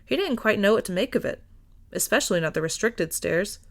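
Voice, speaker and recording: Woman narrating fiction, medium pitch. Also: animated, brisk. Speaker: podfic reader.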